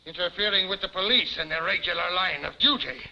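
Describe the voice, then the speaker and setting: An actor playing a blustering, pompous small-town police chief in 1950s television comedy style. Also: male voice, high voice, a little fast, gravelly, pushed and bright, heavily acted. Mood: officious. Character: blustering, officious small-town male police chief, sweet-talking, cowardly. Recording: muffled, hissy 1950s television soundtrack.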